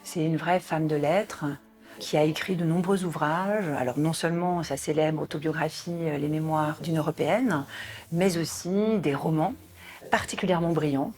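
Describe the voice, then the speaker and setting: A woman in her late forties from southern France, a philosophy teacher speaking in a thoughtful, measured way. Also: sits low, a little mellow. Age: 40s. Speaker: philosophy teacher, podcast host. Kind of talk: calm spoken portrait.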